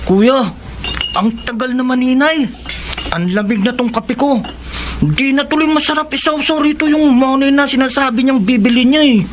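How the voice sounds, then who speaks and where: A woman's voice: sits high, moderate pace, slight background noise, lightly acted. A woman voicing a hungry, impatient young child in a radio drama, whiny and childlike.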